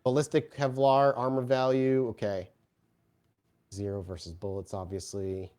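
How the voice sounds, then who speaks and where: A man's voice: medium pitch, completely flat delivery, normal speed, mellow, clear, resonant tone. A man working as game master, improvising vivid narration and explaining rules in a conversational way.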